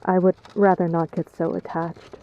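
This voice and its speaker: A woman's voice: lowish voice, level tone, normal speed, lo-fi sound, natural voice. A woman playing a guarded, brooding noblewoman in a fantasy audio drama, grave and restrained in her speech. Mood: somber, probing. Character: somber, guarded young noblewoman, brooding on death.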